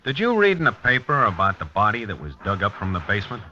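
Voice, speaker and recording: An actor playing a plain-spoken, probing police lieutenant in 1950s radio drama style. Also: male voice, on the low side, huge pitch swings, fast talker, sharp tone, a little hiss or hum, exaggerated voice. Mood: firm questioning. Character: dogged male police lieutenant investigating a homicide. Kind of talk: 1950s radio acting, narration and dialogue. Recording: dull 1950s radio recording.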